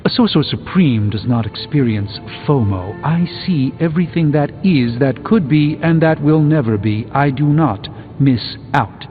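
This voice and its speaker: An actor playing a proud, erudite sorcerer in a video game, speaking in pompous, formal, wordy phrases. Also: male voice, on the high side, animated, normal speed, few pauses, narrow, tinny audio, close to an everyday voice. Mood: wry, haughty. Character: pompous, erudite adult male sorcerer superhero, proud and wry. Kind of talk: video game character dialogue. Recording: thin band-limited game audio with music behind.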